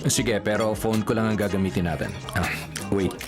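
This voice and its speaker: A man's voice: fairly low pitch, fairly even intonation, normal speed, close to an everyday voice. An actor playing a smitten, teasing young male professor in a Filipino radio romantic comedy, suave and understated with a Filipino accent. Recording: music bed behind the voice.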